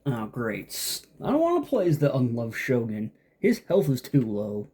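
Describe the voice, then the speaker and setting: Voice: mid-range voice, huge pitch swings, normal speed, dark tone, slightly rough. A game streamer with an androgynous voice giving casual, sarcastic, grumbling commentary while playing.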